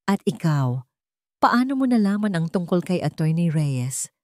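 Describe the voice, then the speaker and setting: A woman's voice: on the low side, big pitch swings, measured pace, warm tone, dry recording, studio quality. A woman voicing a betrayed, angry wealthy man in an audio drama, cold and accusing. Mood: suppressed anger. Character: betrayed wealthy adult son, angry and wounded.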